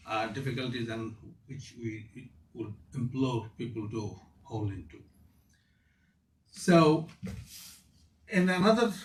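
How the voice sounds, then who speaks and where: A man's voice: mid-range voice, slight background noise. A man from Bangladesh chairing a webinar and giving formal opening remarks, hesitant with many fillers. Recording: echoey room from far off.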